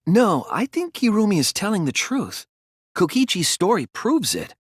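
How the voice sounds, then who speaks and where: A man voicing an earnest, soft-spoken teenage detective in a video game, reasoning carefully through a case. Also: high-pitched, huge pitch swings, moderate pace, slightly rough, dry recording, studio quality, heavily acted. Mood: insistent. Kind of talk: video game voice acting, deductive exposition.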